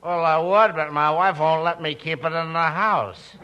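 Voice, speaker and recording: An actor playing a cheerful, slurring drunken reveller in a 1940s radio comedy. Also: male voice, fairly high pitch, normal speed, muffled recording, lightly acted. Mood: tipsy, friendly.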